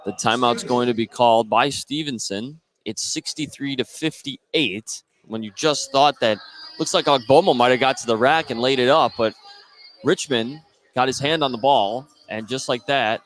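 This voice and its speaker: A man's voice: medium pitch, normal speed, a bit bright, slight background noise, rough recording. A man doing live play-by-play radio commentary of a basketball game.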